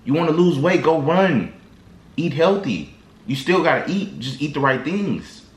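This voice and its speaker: A man's voice: a little high, very animated, unhurried. A man vlogger giving casual, slangy, rambling motivational advice to his viewers. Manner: slangy, loose and rambling, lots of restarts. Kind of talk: casual motivational talk to camera. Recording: echoey room.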